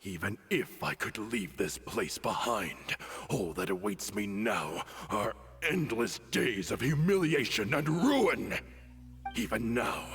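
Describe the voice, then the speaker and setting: An actor playing a proud, fanatical desert warrior commander in a video game, grandiose and solemn, defiant to the death. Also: male voice, mid-range voice, gravelly, a bit bright, full character voice. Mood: defiant rallying. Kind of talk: dramatic game voice acting. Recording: game music behind.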